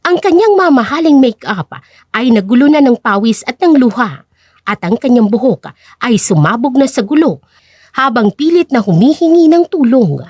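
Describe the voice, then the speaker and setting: High-pitched woman narrating dramatically. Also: sing-song, normal speed, darkish tone, breathy edge, no room sound, studio quality. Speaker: story narrator.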